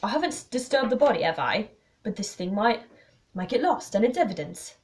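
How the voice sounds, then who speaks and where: A woman's voice: mid-range voice, big pitch swings, fairly quick, darkish tone, a little hiss or hum, pitched above the performer's own voice, lightly acted. A woman voicing a hot-headed young Englishman in a mystery story, blustering and emphatic. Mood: agitated, defensive.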